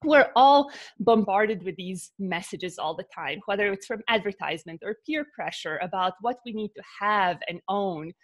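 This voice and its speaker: Woman, Bosnian accent, podcast guest, hesitant, lots of fillers, mid pitch. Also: animated, normal speed. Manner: hesitant, many fillers and restarts. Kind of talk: casual interview talk.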